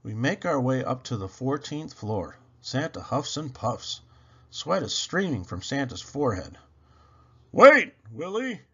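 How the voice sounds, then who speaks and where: Male read-aloud narrator, dramatic, highly varied pitch, slightly muffled lo-fi sound. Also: animated, unhurried, slightly rough. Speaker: children's storybook reader.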